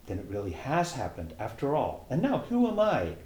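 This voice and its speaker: A man's voice: mid-range voice, wildly swooping pitch, normal speed, a little mellow, roomy sound, voice pushed up. A man reading aloud as a curious young girl who rambles and muses to herself, timid when asking questions. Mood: musing.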